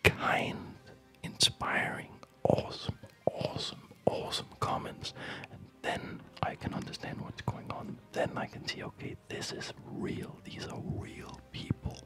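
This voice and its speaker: Slow, whispering man doing ASMR. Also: music in the background. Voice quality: breathy unvoiced whisper. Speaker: ASMR gaming channel host. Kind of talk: whispered ASMR chat over gameplay.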